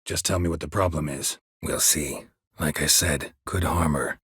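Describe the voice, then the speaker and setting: Gruff american monster hunter for a game, super raspy, dry sardonic deadpan, close dry studio sound. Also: male voice, moderate pace, no room sound, full character voice. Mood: dry, guarded. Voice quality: husky, gravelly low voice. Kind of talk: video game voice acting.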